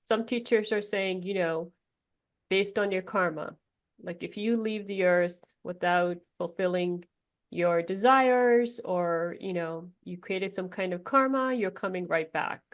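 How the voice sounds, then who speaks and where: A woman's voice: on the low side, measured pace. A woman hosting a podcast, asking and following up in a conversational interview style. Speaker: podcast host.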